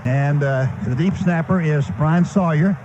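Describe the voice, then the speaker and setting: A high-voiced American man calls a football game live on the radio. He describes each movement in short, running bursts. The broadcast sounds dull and lo-fi, with clear noise behind him. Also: unhurried, darkish tone. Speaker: play-by-play radio announcer. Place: stadium broadcast booth.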